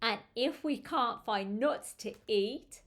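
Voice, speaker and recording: A woman's voice: on the high side, slow, fairly bright tone. A woman voicing a playful, carefree chimpanzee from a children's storybook, in stretched-out sing-song rhyme. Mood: playful, gleeful.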